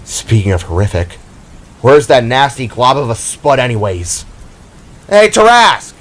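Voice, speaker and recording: A man's voice: medium pitch, big pitch swings, unhurried, a bit bright. A man voicing a brash, snippy younger brother, a glowing energy warrior in an audio drama, with a cocky, casual manner. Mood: taunting, loud.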